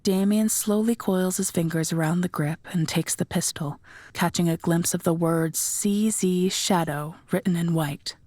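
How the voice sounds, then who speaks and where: A woman's voice: on the low side, unhurried, dark tone, no room sound. A woman reading scripted third-person narration for an audio drama.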